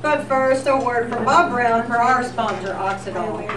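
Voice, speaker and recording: High-voiced woman announcer, echoey and distant. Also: unhurried, crowd noise. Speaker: host of a live radio-themed tribute show. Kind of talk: scripted old-time radio newscast-style announcing. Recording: distant echoey live event, noisy.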